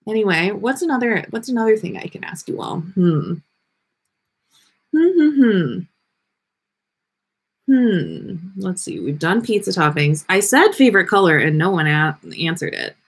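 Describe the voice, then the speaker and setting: Lively, unhurried woman chatting on a livestream. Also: medium pitch, breathy edge. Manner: playful, rambling, thinking aloud. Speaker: livestream podcast host. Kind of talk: casual livestream chat with viewers. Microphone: webcam livestream setup.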